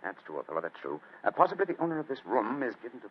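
An actor playing a shrewd, assured Victorian gentleman detective with a British accent, speaking precisely in an old-time radio drama. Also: mid-range voice, wildly swooping pitch, slightly rough. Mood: musing, grave. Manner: precise, deliberate, authoritative. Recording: muffled, noisy old radio recording.

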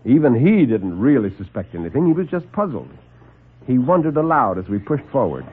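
An actor playing a tough-talking lieutenant who narrates and speaks up with quick, defiant wisecracks in a vintage radio drama. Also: male voice, medium pitch, sing-song, slight background noise, natural voice. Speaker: narrator and lead character, a lieutenant. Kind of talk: 1940s radio drama acting. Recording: tinny old radio broadcast.

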